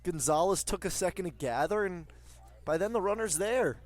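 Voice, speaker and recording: A man's voice: high voice, lively intonation, moderate pace. A man giving conversational live analysis as color commentator on a baseball radio broadcast.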